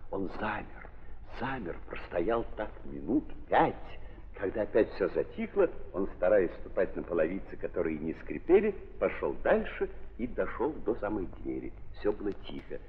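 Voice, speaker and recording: A man narrating a 1930s audio drama in an emphatic, declamatory theatrical style, with repeated phrases and pauses, on an old recording. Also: low-pitched. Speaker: narrator of a staged literary drama. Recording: echoey, noisy, muffled 1930s audio play.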